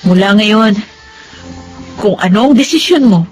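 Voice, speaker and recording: A woman's voice: medium pitch, expressive, unhurried, soft background music, close to an everyday voice. A woman playing a young newlywed wife who argues with and then apologizes to her husband in a radio drama. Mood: apologetic. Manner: pleading, defensive.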